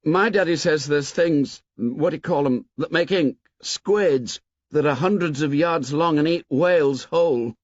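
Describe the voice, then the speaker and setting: An eager young boy tumbles over his words, voice pitched high and bright. A man performs him in a reading. The sound is dull and dry. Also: a little slow, no room sound. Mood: earnest. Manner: rambling, earnest, then laughs gaily.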